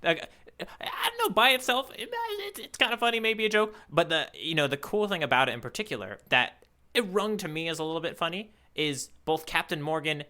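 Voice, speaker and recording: A man's voice: on the high side, big pitch swings, a little fast, bright, forceful tone. A man co-hosting a podcast, chatting casually and rambling as he thinks aloud and hedges his points. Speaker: podcast co-host. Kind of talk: casual chat, manga readalong commentary.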